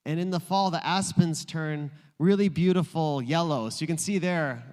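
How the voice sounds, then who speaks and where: A man's voice: on the high side, normal speed, darkish tone. A male pastor preaching a sermon in a playful, joking storytelling way. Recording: long reverb in a large room.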